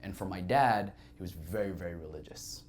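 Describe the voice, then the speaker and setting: Deep-voiced man telling his life story. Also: fairly quick, a little mellow, a little hiss or hum. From Saudi Arabia. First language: Arabic. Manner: reflective, conversational, many fillers. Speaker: podcast guest. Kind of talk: candid personal storytelling.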